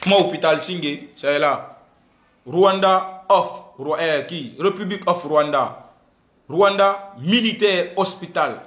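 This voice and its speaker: A man's voice: a little high, slow, a bit bright. A man in his thirties from Senegal speaks emphatically to his phone at arm's length, repeating himself and switching languages. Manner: emphatic, repetitive, switching between French and a local language, gesturing. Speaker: activist addressing followers. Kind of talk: impassioned selfie video statement. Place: indoor room with air conditioner. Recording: echoey room on a phone.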